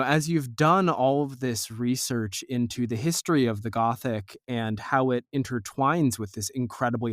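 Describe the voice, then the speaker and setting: Guy hosting a podcast interview, mid-pitched voice, dry recording, long thoughtful questions. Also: no room sound. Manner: reflective, discursive questioning. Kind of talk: conversational interview.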